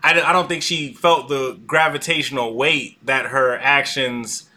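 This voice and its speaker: A man's voice: medium pitch, steady tone, a little slow, bright, forceful tone. A man hosting a casual live-stream discussion over a video call, talking loosely with many fillers and restarts and laughing often. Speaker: host. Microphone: video call mic.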